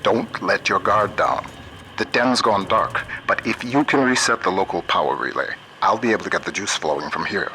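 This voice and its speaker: An actor playing a steady, folksy older scientist, earnest and plain-spoken, guiding a game's hero. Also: male voice, sits low, moderate pace. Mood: guarded, encouraging. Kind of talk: video game character acting. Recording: noisy radio transmission.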